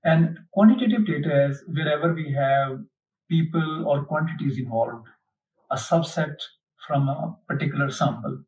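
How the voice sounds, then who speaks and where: A man giving an unhurried explanatory lecture, pausing often with fillers as he explains. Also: a little high, darkish tone. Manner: unhurried, hesitant, many fillers. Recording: dull lo-fi, mic at a distance.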